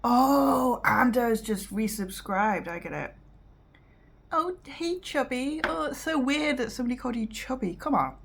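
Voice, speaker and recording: A British woman giving casual reaction commentary as host, rambling and halting as she talks. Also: a little high, animated, vocal fry, slightly rough. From Britain.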